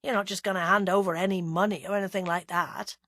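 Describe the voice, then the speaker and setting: A woman voicing a calm, gently teasing male police detective with a soft Gloucestershire accent. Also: mid-range voice, fast, close to an everyday voice.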